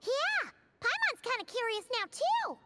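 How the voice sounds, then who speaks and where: A woman voicing a tiny, childlike and excitable female fairy companion in a video game, squeaky and exclamatory. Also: measured pace, very noisy, full character voice. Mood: incredulous, curious. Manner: speaks of self in third person, exclamatory. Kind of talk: video game character acting.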